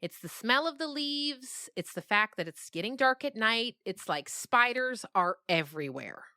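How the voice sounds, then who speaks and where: A woman's voice: fairly high pitch, expressive, moderate pace, pushed and bright, dry recording, studio quality. A woman novelist being interviewed, telling stories conversationally in an emphatic way and often checking in with the listener. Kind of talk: animated conversational storytelling.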